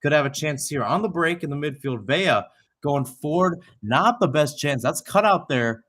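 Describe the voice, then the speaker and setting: A man's voice: a little high, lively intonation, normal speed, fairly bright tone, slight background noise. A young man giving live radio-style soccer play-by-play, drifting into conversational, rambling asides and correcting himself as he goes. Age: young adult. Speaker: play-by-play commentator.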